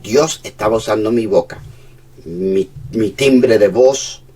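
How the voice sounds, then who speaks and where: A man with a Cuban accent, preaching a sermon as a pastor, moving between hushed and emphatic passages. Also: lowish voice, slow, recorded from a distance.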